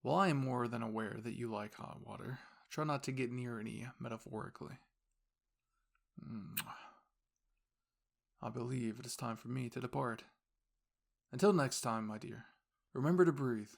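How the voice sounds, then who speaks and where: Man playing a young male student, gravelly edge, formal measured phrasing, gently reassuring, caring boyfriend, intimate audio roleplay. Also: medium pitch. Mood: quietly affectionate.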